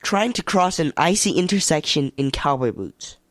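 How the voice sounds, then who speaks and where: A man's voice: a little high, lively intonation, normal speed, a bit bright, no room sound. A man on a radio comedy panel, joking in casual banter.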